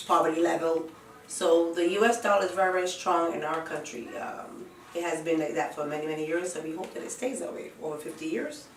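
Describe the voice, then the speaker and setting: A woman's voice: low voice, slight background noise. A woman in her fifties from Barbados with a Barbadian accent, answering questions in a casual documentary interview. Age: 50s. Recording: roomy, distant microphone, music behind.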